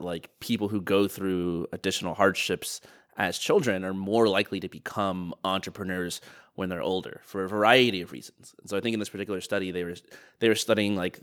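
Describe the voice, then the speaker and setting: A man's voice: sits low, fairly bright tone. A man hosting an interview, asking curious questions in a chatty way. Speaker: host. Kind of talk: casual interview talk.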